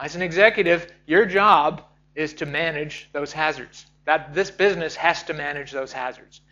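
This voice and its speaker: A middle-aged man with a Canadian accent giving a seminar talk on safety, lively and asking the audience questions. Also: a little high. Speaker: safety lecturer. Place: seminar hall. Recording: dull lo-fi recording.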